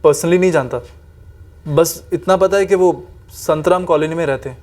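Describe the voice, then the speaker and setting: A man acting as a reluctant young informant in a TV crime drama. His voice is somewhat high, and he answers deferentially, evasive and hedging. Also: moderate pace, darkish tone, natural voice. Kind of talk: Hindi TV crime drama acting, interrogation dialogue.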